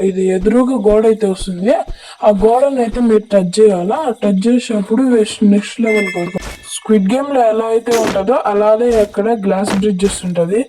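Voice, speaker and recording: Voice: medium pitch, background noise. A gaming creator with a Telugu accent and a voice changer effect, giving instructional, repetitive gameplay tutorial commentary. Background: music.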